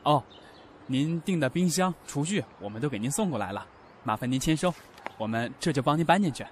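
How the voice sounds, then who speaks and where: A man's voice: fairly high pitch, expressive, brisk, slight background noise, close to an everyday voice. An actor playing a courteous, businesslike delivery man in an audio drama. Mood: polite. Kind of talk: audio drama acting in Mandarin.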